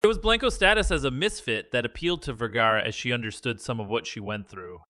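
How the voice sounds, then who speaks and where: Guy reading a news piece, steady and measured, crisp bright tone, no room echo. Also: medium pitch. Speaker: news narrator. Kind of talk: scripted news narration.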